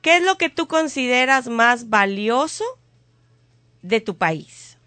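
A woman from Mexico co-hosts morning radio with a high, lively voice that swings widely in pitch. She teases her partner playfully. The sound is dry and close. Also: slow, no echo. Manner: playful, teasing, laughs often. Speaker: radio co-host. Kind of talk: casual morning radio chat.

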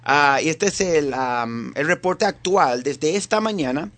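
A man with a Venezuelan accent hosting a live morning radio show, talking in a somewhat halting way. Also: a little high, lively intonation, a bit bright. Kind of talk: live morning show talk with news report. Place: radio studio.

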